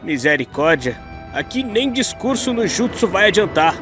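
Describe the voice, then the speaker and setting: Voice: medium pitch, sing-song, normal speed, music in the background, close to an everyday voice. An actor voicing a brash, mocking young male anime hothead in a parody debate, taunting and exclamatory. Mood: mocking, dramatic.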